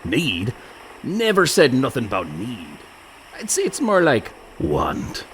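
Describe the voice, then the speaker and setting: An actor playing a sly, illiterate pirate ruffian in a comic radio play, with mock-jovial menace and rough, ungrammatical pirate talk. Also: male voice, mid-range voice, huge pitch swings, slow pace. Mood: sly threatening. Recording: clear background noise.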